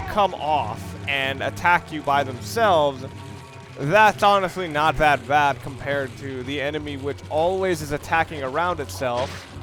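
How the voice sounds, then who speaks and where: A man giving casual let's play game commentary, explaining things analytically and often correcting himself as he goes. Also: fairly high pitch. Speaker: gameplay commentator. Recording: noisy recording with clear background noise.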